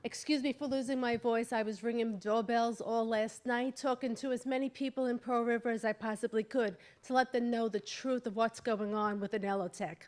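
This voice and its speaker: A woman's voice: a little high, steady tone, a little fast, few pauses, a little mellow. A woman from New York who is losing her voice reads a prepared statement steadily and earnestly during public comment at a town hall meeting. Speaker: resident speaking at public comment.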